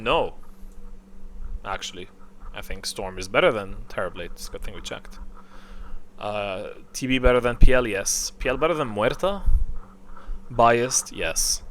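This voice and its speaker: A man with a mid-range voice rambles casually through a ranking as a video creator. He thinks aloud, posing questions and answering them himself. Clear background noise sits under the recording. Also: mid-range voice, a bit bright. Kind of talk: casual rambling tier-list commentary.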